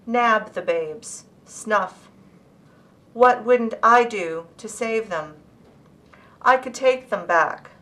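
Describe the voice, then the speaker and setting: Woman, poet, bright crisp-edged tone, slow measured poetry reading, deliberate phrasing. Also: fairly high pitch. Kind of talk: poetry reading of own work.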